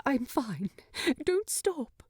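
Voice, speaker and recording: A woman voicing a small male hobbit in a storybook, flustered, affectionate and quick to exclaim. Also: sits high, sing-song, darkish tone, husky, dry recording, studio quality, voice pushed up, full character voice. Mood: breathless.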